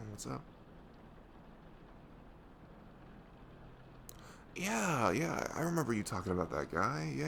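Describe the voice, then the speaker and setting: Guy playing caring best friend in asmr roleplay, gravelly low voice, soothing n halting, bit of background noise. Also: a bit bright. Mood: friendly, attentive. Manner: halting, soothing, earnest, breaking into whispers. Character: caring, protective young male best friend comforting someone. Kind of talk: ASMR audio roleplay, intimate casual chat.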